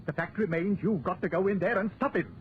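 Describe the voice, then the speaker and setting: A man's voice: high-pitched, brisk, natural voice. An actor playing an insistent, agitated townsman with an urgent, emphatic manner in a 1940s radio drama. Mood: urgent insistence. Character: insistent, agitated townsman demanding action and answers. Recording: muffled old radio recording, faint hiss.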